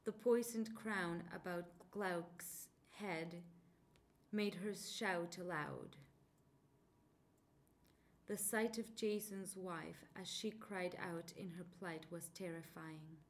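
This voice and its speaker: Slow, careful young woman. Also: lowish voice. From Mexico. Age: 20s. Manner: careful, deliberate, many ums. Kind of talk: reading a passage, then casual self-introduction.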